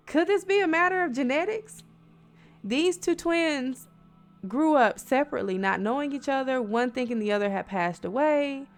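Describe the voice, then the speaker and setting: A woman's voice: high voice, animated, normal speed. An American woman storyteller telling eerie bedtime stories in a conversational way, addressing listeners as friends with dramatic emphasis and rhetorical questions. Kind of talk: spooky bedtime storytelling with casual asides. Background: music.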